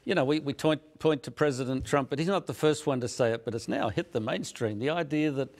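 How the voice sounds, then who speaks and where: A middle-aged man hosting a studio talk show, speaking in a measured way with frequent chuckles and hesitant uhs. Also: mid-range voice, a little mellow. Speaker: host, magazine editor.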